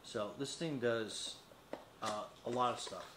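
A man's voice: medium pitch, unhurried. Echoey middle-aged man demonstrating a gadget. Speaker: product reviewer. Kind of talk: casual unboxing and product demonstration. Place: small indoor metal-walled studio, seated at a desk. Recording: echoey room with faint noise.

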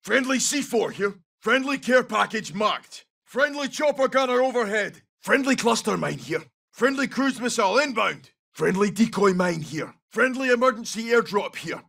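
Scottish soldier for a shooter game, raspy and a bit high for a man, clipped urgent callouts. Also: animated, normal speed, fairly bright tone, far from the mic, full character voice. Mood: urgent, commanding. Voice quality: husky, gravelly. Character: tough, loyal Scottish special forces soldier. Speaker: video game character voice. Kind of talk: short military callouts.

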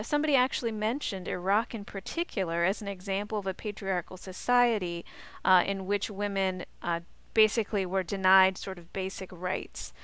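A woman lecturer with an exceptionally smooth, crisp voice explains material in a measured, articulate way. She sounds close and dry, like a recorded slide lecture for a college class. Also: mid-range voice, a bit bright, dry recording. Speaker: college instructor. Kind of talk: lecture over slides, reading excerpts aloud.

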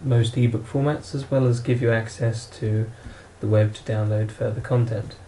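A man's voice: on the low side, fairly even intonation, normal speed, dark tone, slight background noise. A man narrating an instructional product walkthrough in a plain, matter-of-fact way, as a demonstrator.

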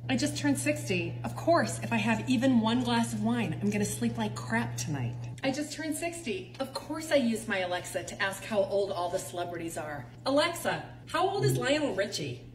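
A woman's voice: fairly high pitch, big pitch swings, normal speed, hardly any pauses, over music. A woman of sixty giving a wry comic monologue built on a repeated refrain. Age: 60s. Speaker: website founder in a played clip.

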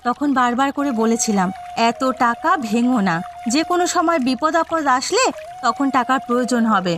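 A woman's voice: high voice, lively intonation, normal speed, a bit bright, soft background music. A woman voicing a scolding, aggrieved village housewife in a cartoon, nagging and complaining. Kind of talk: cartoon drama dialogue.

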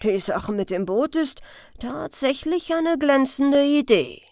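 Audiobook crime story: dry, sardonic chief inspector, deliberate and lively in pitch read by a woman, close dry sound. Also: no echo, heavily acted. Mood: smugly amused. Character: dry, sly male police chief inspector, quietly venomous.

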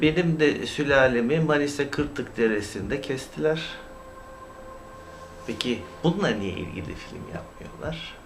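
A man giving informal, opinionated YouTube commentary in a halting, indignant, emphatic manner with rhetorical questions. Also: medium pitch, fairly bright tone. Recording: clear background noise, amateur recording.

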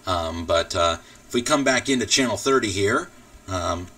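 An American man giving a casual, informal software tutorial as he explains things step by step. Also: on the low side, animated, moderate pace, far from the mic. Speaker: tutorial presenter, radio hobbyist. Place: indoors at a computer.

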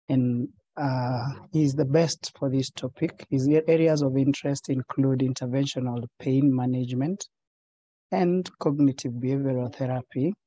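A man's voice: mid-range voice, mellow, no echo, low-quality recording. A man moderating an online webinar, introducing the speaker formally with frequent fillers. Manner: hesitant, many fillers. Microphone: video call.

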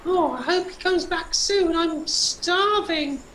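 A woman voicing an excitable, naive little boy in a puppet show, exclaiming and drawing out simple words. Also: very high-pitched, exaggerated voice. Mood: hungry, complaining. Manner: exclaiming, drawn-out words, simple childlike phrasing. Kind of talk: hobby puppet show acting. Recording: roomy, echoing home recording with faint noise.

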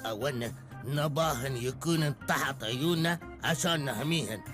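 A man's voice: medium pitch, moderate pace, over music, lightly acted. A man voicing a smug middle-aged bus driver in a cartoon, lecturing in an Emirati Arabic accent. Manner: lecturing, self-important. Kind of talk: Gulf Arabic cartoon dub.

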